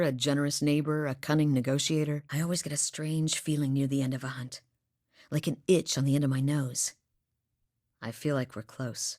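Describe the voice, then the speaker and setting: A woman's voice: low voice, dry recording, studio quality, natural voice. A woman voicing a determined young woman hunter, earnest and sincere in a video game. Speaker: voice actor. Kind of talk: video game character dialogue.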